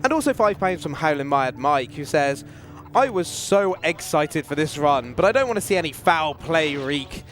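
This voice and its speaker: Higher-voiced male event host over music. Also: expressive, moderate pace, fairly bright tone. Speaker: marathon host reading donations. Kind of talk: event hosting, reading out messages.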